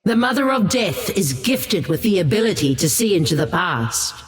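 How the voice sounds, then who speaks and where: Reverent lore-keeper in cavernous reverb. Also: male voice, sits high, big pitch swings, faint music behind. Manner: deliberate, reverent. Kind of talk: solemn scripted lore narration.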